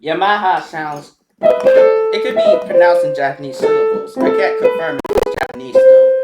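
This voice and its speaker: A man's voice: a little high, moderate pace. A man talking in a meandering, hesitant casual monologue for a vlog, with frequent ums and restarts. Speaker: vlogger. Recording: loud keyboard piano music over the voice. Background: loud piano and keyboard music.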